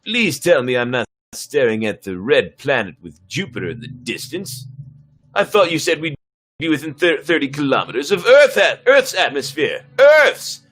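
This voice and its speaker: A man's voice: a little high, huge pitch swings, normal speed, a bit bright, exaggerated voice. A man playing a cocky, bossy, sarcastic spaceship captain in a sci-fi story reading, brash and commanding. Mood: exasperated. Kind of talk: dramatic reading of sci-fi script.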